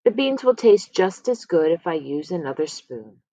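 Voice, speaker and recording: A woman's voice: lowish voice, big pitch swings, moderate pace, mellow, no echo. A woman voicing a kindly, busy, forgetful grandmother in a storybook, exclamatory and shrugging off mishaps. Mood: cheerfully unbothered. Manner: exclamatory, self-chiding, drops in Spanish phrases. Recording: slightly dull, lo-fi close recording.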